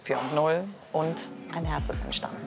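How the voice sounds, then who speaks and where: A woman reporter speaking calmly and explaining as she goes. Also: sits low, moderate pace, creaky, over music. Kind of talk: on-site reporting.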